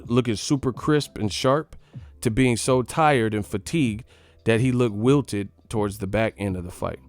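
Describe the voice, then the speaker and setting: A man's voice: on the low side, a little mellow, smooth, no room sound. An American man hosting a live boxing stream, giving casual, opinionated analysis in a blunt, slangy way with some swearing.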